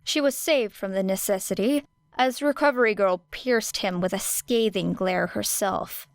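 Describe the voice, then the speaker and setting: A woman's voice: medium pitch, a little slow, dry recording. A woman reading scripted story narration as an audiobook narrator.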